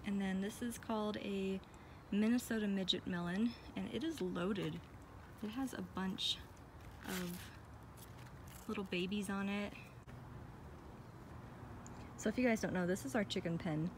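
A woman's voice: medium pitch, measured pace, pauses often, slight background noise. An American woman giving a casual, chatty and enthusiastic walk-through narration as a vlogger, recorded outdoors on a handheld phone. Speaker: vlogger giving a garden tour. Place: outdoors in a backyard garden. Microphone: handheld phone behind the camera.

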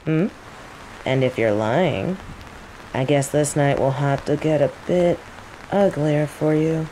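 A woman's voice: low voice, slow pace, low-quality recording. A woman playing a teasing rival mage who interrogates a captive with casual, coaxing menace in an audio roleplay. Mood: quietly threatening. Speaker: voice actor in scripted audio roleplay. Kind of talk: intimate scripted audio roleplay acting. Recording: steady rain noise behind the voice. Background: rain.

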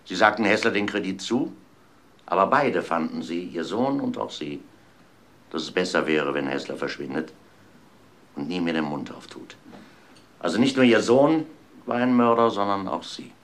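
Insistent inspector for crime radio drama, male actor: low-pitched, very animated delivery, emphatic and accusing. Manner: deliberate, emphatic interrogator. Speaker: actor in an amateur crime audio play.

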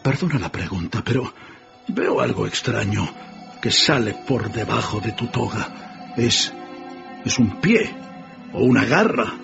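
An actor playing a frightened, repentant old miser in a radio drama, earnest and hesitant. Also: male voice, medium pitch, expressive, unhurried, creaky, long pauses. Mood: uneasy. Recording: dull radio recording, music behind.

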